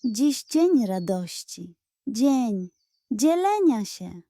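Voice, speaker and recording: A sly, self-important male pug from a children's bedtime story, acted out by a woman. The voice is high and soft-edged, unhurried, preaching goodness with unctuous dignity while hiding greed. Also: big pitch swings, exaggerated voice. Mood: solemn, slurred. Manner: pompous, unctuous.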